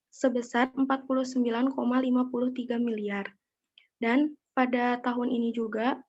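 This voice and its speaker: A young woman delivers a class presentation. Her voice is slightly high and stays very even in tone. The recording is a little dull. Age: young adult. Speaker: student presenter. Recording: dull, lo-fi audio.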